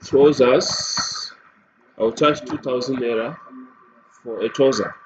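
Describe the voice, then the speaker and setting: Guy doing a screen share walkthrough, slow talker, kinda dull audio with noise behind him. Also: medium pitch. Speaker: software demo presenter. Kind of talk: unscripted screen-share tutorial. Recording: muffled lo-fi audio, clear background noise.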